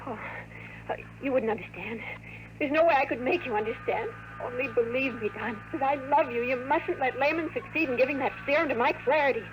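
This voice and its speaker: An actress playing an anxious, conflicted time traveler in 1950s radio drama, speaking with earnest, melodramatic intensity. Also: female voice, sits high, a little fast, natural voice. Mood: pleading, torn. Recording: noisy old radio drama recording.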